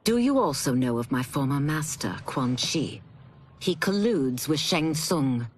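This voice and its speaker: A woman's voice: deep voice, animated, measured pace, slight background noise. A woman playing a solemn demon in a video game, speaking with formal intensity. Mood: grave, urgent. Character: solemn female demon seeking to expose former master.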